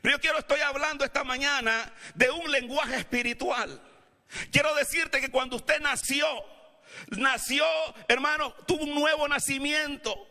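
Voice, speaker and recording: A male pastor with a Latin American Spanish accent, not Mexican, preaching a sermon in a church and building up to shouted calls to the congregation. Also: extremely high pitch, steady tone, normal speed. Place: church sanctuary. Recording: long hall reverb.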